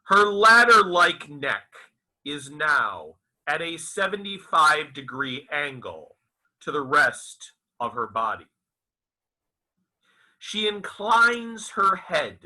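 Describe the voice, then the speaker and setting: A man delivers a dramatic audiobook reading in a fairly high voice that swings expressively up and down. His phrasing is deliberate and broken into short, emphatic pieces, and he moves at a very slow pace. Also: pushed and bright, low-quality recording. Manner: theatrical, deliberate, halting phrasing with emphatic enunciation. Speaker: author reading his own novel aloud. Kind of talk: dramatic literary audiobook recitation.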